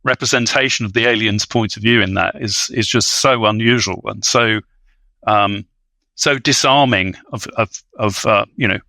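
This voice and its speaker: A man's voice: fairly low pitch, moderate pace, creaky, bright, forceful tone, dry recording, rough recording. A man speaking as an expert podcast guest in an academic discussion, with hesitant, scholarly, wordy phrasing.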